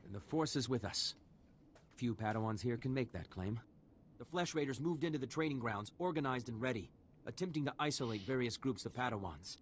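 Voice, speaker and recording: Actor as grave, commanding Jedi officer, noisy audio. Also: male voice, medium pitch, lively intonation, natural voice. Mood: grave, purposeful. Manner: formal, measured briefing. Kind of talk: video game voice acting.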